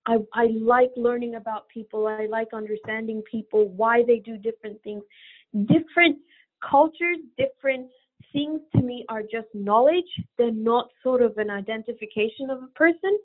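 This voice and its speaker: A woman's voice: on the high side, moderate pace. A woman from Bangladesh, a podcast guest, answering interview questions in an earnest, reflective way as she thinks aloud. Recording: phone-like narrow line.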